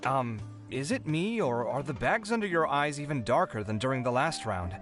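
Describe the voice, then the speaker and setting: A man voicing a caring, candid young architect in a video game, gentle, earnest and reassuring. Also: mid-range voice, animated, normal speed, faint music behind, lightly acted. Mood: concerned, sympathetic. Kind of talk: video game character dialogue.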